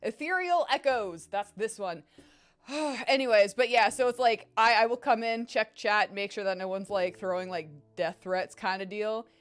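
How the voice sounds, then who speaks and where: A woman's voice: mid-range voice, moderate pace, clear, resonant tone. A young woman streamer chatting casually with her viewers, her voice strained and tired, rambling and swearing freely. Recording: faint music behind voice. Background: faint music.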